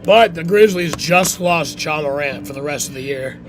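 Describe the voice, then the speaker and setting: A man with a hoarse, worn voice hosting a casual livestream. He rambles, coughs and swears as he chats. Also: a little high, moderate pace, music in the background. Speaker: livestream host.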